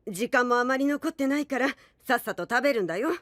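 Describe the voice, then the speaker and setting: A woman voicing a bossy, rule-bound eatery worker, curt and matter-of-fact, in an animated audio drama. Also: on the high side, quick pace, no echo. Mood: brisk, matter-of-fact. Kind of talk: animated Japanese voice drama acting.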